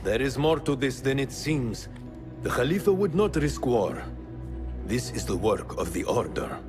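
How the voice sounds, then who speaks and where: A man's voice: medium pitch, sing-song, unhurried. An actor playing a cautious, authoritative male spymaster in a video game, giving grave advice with music behind him. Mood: grave, cautioning. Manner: grave, advising. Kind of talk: dramatic video game acting.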